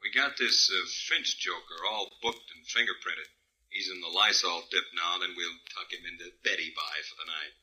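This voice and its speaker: Gruff male policeman, chatty, deep rough voice through intercom, old-time radio. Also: moderate pace, bright, forceful tone, full character voice, low-quality recording. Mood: jokey, businesslike. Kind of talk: 1940s radio drama acting. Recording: vintage radio broadcast.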